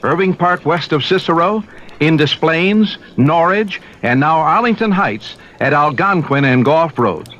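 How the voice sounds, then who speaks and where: A man's voice: fairly high pitch, lively intonation, unhurried, fairly bright tone. A man reading a chatty radio advertisement as an announcer in the style of the 1970s. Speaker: commercial announcer. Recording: dull lo-fi 1970s radio recording.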